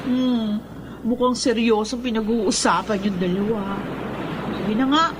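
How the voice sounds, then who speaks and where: Actress as sassy high-voiced young daughter. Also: female voice, steady tone, measured pace, background noise, natural voice. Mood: playful impatience. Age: young adult. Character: sassy, attention-hungry young woman, secret illegitimate daughter. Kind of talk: Filipino radio drama acting.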